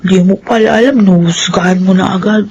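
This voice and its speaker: A woman acting a gentle, trusting young woman in a Filipino radio drama, with measured, pleading phrasing. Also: mid-range voice, fairly even intonation, muffled recording, slight background noise, close to an everyday voice. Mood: defensive, pleading. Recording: radio drama with background music.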